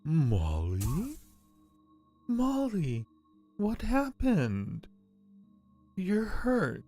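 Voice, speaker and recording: A man voicing a worried, tender friend in a game, asking halting, distressed questions over music. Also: high voice, huge pitch swings, mellow, voice pushed up, heavily acted.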